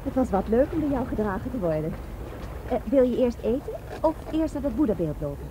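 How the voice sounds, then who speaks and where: A woman's voice: on the high side, very animated, a little fast, noisy background. An actress playing an affectionate, playful young woman in a Dutch radio drama.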